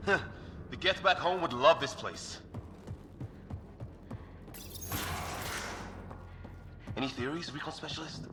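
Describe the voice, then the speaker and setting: A man's voice: fairly high pitch, a little fast, over music, natural voice. A man playing a wary, sardonic squadmate in a sci-fi video game, with a casual way of talking. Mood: wry. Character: wry, wary male squadmate on alien expedition. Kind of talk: naturalistic video game dialogue acting. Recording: game audio under loud ambient noise.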